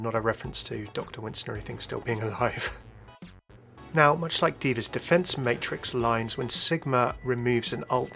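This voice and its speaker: A man presents video game commentary in a medium-pitched voice with noticeable creak. He muses aloud and addresses viewers in conversational asides. Light music plays underneath. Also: bright, forceful tone. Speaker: host of a video game lore channel. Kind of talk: scripted-sounding video commentary.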